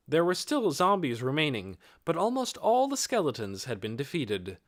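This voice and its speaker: A man reading scripted fantasy narration as an audiobook narrator, expressive and dramatic, with measured, deliberate phrasing. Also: medium pitch, no room sound, studio quality.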